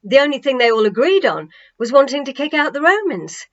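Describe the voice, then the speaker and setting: A high-pitched woman narrates a children's storybook with dramatic emphasis and deliberate pauses. The recording is a little dull and lo-fi, like a home setup. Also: big pitch swings. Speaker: author-narrator reading a children's novel.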